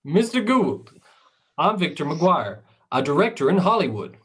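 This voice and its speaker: A man in an amateur radio drama playing a smooth-talking, businesslike Hollywood film director. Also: fairly high pitch, very animated, a little slow, fairly bright tone, exaggerated voice. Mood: smooth, persuasive. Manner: businesslike, persuasive.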